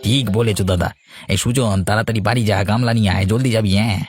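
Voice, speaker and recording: A man acts an easygoing village fish-trading brother in a cartoon. He speaks fast in a slightly low voice, with faint music behind. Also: fairly even intonation, close to an everyday voice. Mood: practical. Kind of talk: cartoon character dialogue.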